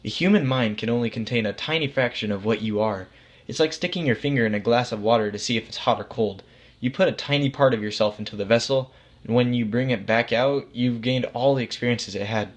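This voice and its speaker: A man's voice: medium pitch, fairly quick, lightly acted. A man as a casual, reassuring God. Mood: calm, reassuring. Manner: matter-of-fact, patient explaining. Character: God as a casual, reassuring, vague authority figure. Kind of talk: acted storybook dialogue.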